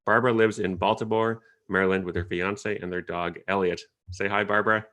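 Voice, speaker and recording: A man from Canada, moderating an online panel in a relaxed, chatty way with hesitant fillers, over a video call. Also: deep voice, brisk. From Ottawa, Canada.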